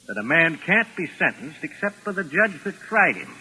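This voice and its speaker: A man's voice: fairly high pitch, very animated, moderate pace, sharp tone, full character voice. A man acting a tough, bossy American gangster in a 1940s radio drama, with slangy, curt, menacing talk. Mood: boastful menace. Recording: noisy vintage 1940s radio recording.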